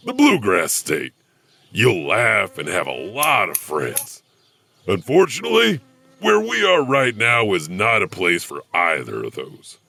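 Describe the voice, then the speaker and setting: A man playing a lonely, theatrical storyteller in a horror audio drama, gravelly and halting. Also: on the low side, pushed and bright, exaggerated voice. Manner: theatrical, halting, chuckling between thoughts. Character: lonely, theatrical storyteller janitor who loves Shakespeare.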